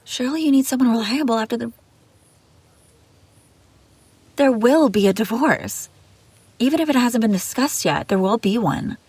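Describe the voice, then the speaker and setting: A woman's voice: fairly high pitch, expressive, a little fast, a little mellow, breathy edge, no room sound. A woman playing an obsessive, scheming young babysitter in an intimate audio roleplay, pleading and defensive. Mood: disbelieving, desperate. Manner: pleading, defensive, hesitant then insistent. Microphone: close, dry microphone.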